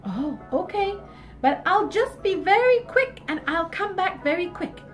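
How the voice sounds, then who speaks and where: A woman voicing a sweet, eager little girl in a storybook tale, with a high, chirpy childlike voice. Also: very animated, unhurried, faint music behind, exaggerated voice. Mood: cheerful.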